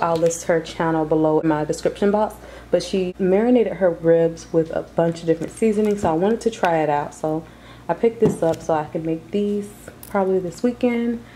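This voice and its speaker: A woman's voice: fairly low pitch, dark tone, slight background noise. A woman vlogger talking casually and informally to her viewers, in a chatty way. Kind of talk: casual grocery haul vlog voice-over.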